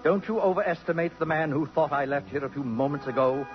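An actor playing a cultured, possessive, murderous aesthete, pompous and disdainful, in a 1950s radio drama. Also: male voice, on the high side, fast talker, natural voice. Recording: muffled old radio, music behind.

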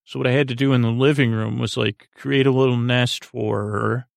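Male podcast host for bedtime rambling: tired, even-toned delivery with vocal fry, halting and meandering. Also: medium pitch, no room sound, studio quality. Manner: meandering, halting, repeats words. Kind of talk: rambling bedtime monologue to help listeners sleep.

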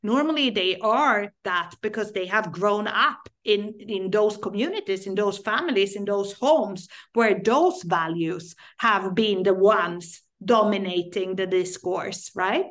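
A woman's voice: medium pitch, measured pace, few pauses. A woman from Sweden speaks earnestly as a guest in a podcast interview. English is not her first language, and she often stops and repeats words while she reaches for the right phrasing. Her voice sits in an ordinary middle range. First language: Swedish. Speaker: guest, parent and sex education advocate.

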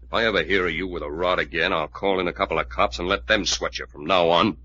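Deep, bitter tough guy. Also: steady tone, fast, pushed and bright, husky, full character voice. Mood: angry, bossy. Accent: American. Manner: terse, slangy, interrogating. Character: bitter, bossy tough bedridden man, suspicious and gruff. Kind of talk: 1940s radio drama acting. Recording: dull old radio recording.

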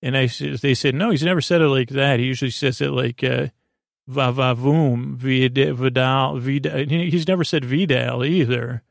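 A man with a gravelly, fry-laden voice hosts a bedtime podcast, recorded close and dry. He wanders from tangent to tangent in a silly, goofy way, often stopping and restarting his sentences. His pitch sits in an ordinary male middle range. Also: fairly even intonation, normal speed, no room sound. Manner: meandering, tangent-prone, hesitant delivery with trailing restarts. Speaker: podcast host. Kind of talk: rambling bedtime story podcast monologue.

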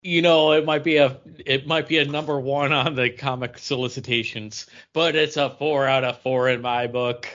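Man, average pitch, casual podcast co-host, halting and rambling delivery, slightly lo-fi sound. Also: fairly even intonation, fairly bright tone. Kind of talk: casual comic book chat.

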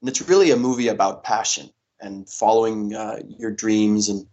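A young man from Denmark answering interview questions in a relaxed way, with many fillers and restarts. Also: on the low side, moderate pace, lo-fi sound. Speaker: interview guest, paddle athlete.

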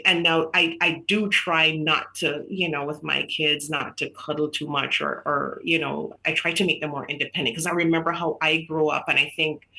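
A woman's voice: low-pitched, rough recording. A middle-aged woman from Jamaica, a guest author in a casual podcast interview, telling stories in a chatty way with many fillers and restarts. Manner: chatty, warm storytelling with frequent fillers and restarts.

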